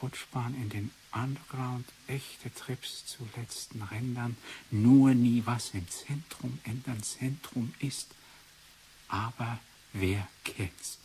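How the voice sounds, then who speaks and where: An actor playing a pensive doctor looking into a suspicious death in a radio drama, speaking deliberately with weighty pauses. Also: male voice, mid-range voice, a little mellow, natural voice, poor audio. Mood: reciting. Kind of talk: 1980s German radio drama acting. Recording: hissy 1980s radio play recording.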